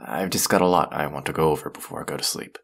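A young man acting a tired, overworked, affectionate college boyfriend in an intimate roleplay audio, speaking softly and drowsily with hesitations and sighs. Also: rumbling low, fairly even intonation, fast, gravelly, heavily acted. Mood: sleepy, affectionate. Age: young adult.

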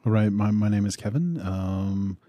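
A man in his forties from Western Pennsylvania, co-hosting a radio talk show in an easygoing, halting way, with frequent uhs. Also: lowish voice, dry recording, dull audio. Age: 40s. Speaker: co-host.

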